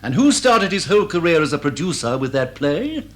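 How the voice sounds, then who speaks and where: A man's voice: on the high side, sing-song, brisk, a bit bright. An actor playing a vain, indignant middle-aged English stage star who declaims grandly and answers his own questions in a radio comedy. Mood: grandly aggrieved. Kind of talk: stage drawing-room comedy in radio drama.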